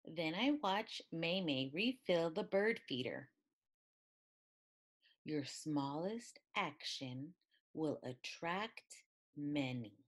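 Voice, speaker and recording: A woman reading a picture book aloud in an expressive, deliberate way. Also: low voice. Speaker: storybook reader.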